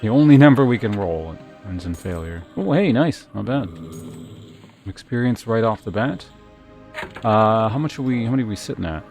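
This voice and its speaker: Male game streamer, lowish voice, casual think-aloud commentary over background game music. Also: expressive, moderate pace, a little mellow. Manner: thinking aloud, muttering to himself.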